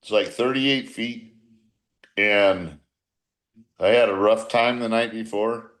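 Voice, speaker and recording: Blunt, salty older man with a low voice. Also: takes it slowly, a bit bright. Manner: blunt, salty, profanity-laced. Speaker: former baseball manager, podcast guest. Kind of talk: casual reunion chat, storytelling.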